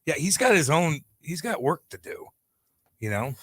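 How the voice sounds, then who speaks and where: A man's voice: on the high side, big pitch swings, normal speed, fairly bright tone, no room sound, clean studio sound. A man hosting a sports talk show, joking and stumbling over his words while reading listener comments. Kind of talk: casual sports talk chat. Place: radio studio.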